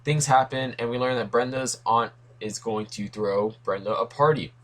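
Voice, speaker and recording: A man's voice: medium pitch, steady tone, normal speed, fairly bright tone. A young man hosting a comics talk video in a casual, rambling way, his voice worn from losing it this week. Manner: rambling, self-correcting, lots of asides.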